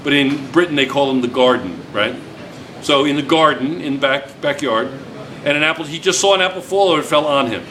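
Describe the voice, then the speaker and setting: Teacher guy joking around with his class, kinda high voice, noisy room. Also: brisk, pushed and bright. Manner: chatty, teasing, repeats students' answers. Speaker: physics teacher. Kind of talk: classroom talk with students.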